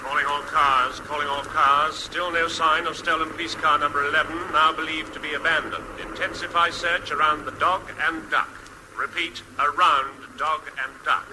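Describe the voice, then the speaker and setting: An actor playing a solemn police dispatcher reading bulletins over the radio in a comedy. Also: male voice, on the high side, flat delivery, moderate pace. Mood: solemn, urgent. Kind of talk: formal announcement in radio comedy. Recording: noisy crackling police radio sound.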